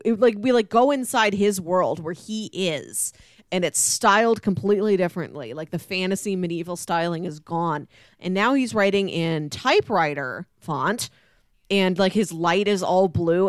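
A woman's voice: on the low side, no room sound, studio quality. A woman chatting casually as a guest co-host, informal and reflective in manner. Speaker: guest co-host discussing books. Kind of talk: casual chat.

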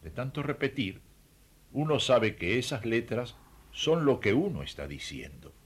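A man's voice: mid-range voice, sing-song, a little slow, breathy edge. A man playing a humble, devout ancient Judean traveler in a radio play, hesitant, respectful and earnest.

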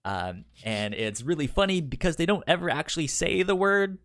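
A man co-hosting a podcast in casual, unscripted chat, with a stutter that repeats first syllables and a halting, explanatory way of talking. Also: fairly high pitch, animated, fairly bright tone. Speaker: podcast co-host.

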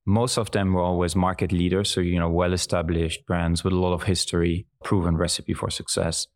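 A man, speaking in a measured, thoughtful way as an interview guest. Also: deep voice, level tone. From German-speaking Belgium. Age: 40s. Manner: measured, thoughtful, listing examples at length. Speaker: guest, brand executive. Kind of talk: reflective conversational interview.

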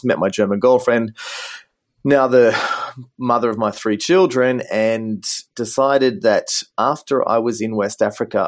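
A man with a rather low voice and an Australian accent talks through his career as an interview guest. He sounds thoughtful and easygoing, filling his sentences with ums and sort-ofs. His mic sounds a little muffled, as if something keeps rubbing against it. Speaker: guest, business designer. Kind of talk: relaxed interview storytelling.

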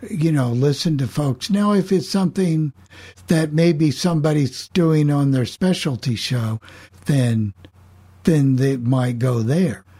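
Husky, slow-paced man in discussion. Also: on the high side, mellow. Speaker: host, radio station operator. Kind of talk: informal group discussion.

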